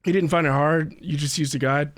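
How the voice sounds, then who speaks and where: A man's voice: a little high, fairly even intonation, fairly quick, fairly bright tone, dry recording, clean studio sound. A man hosting a casual game livestream, rambling and thinking aloud as he talks to viewers. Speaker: livestream host playing a video game. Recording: game music behind the voice. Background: video game music.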